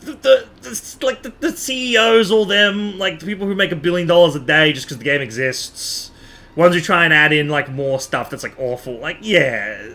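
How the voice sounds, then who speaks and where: A man's voice: a little high, normal speed, pushed and bright. A man chatting casually as a game livestreamer, rambling and repeating himself for emphasis.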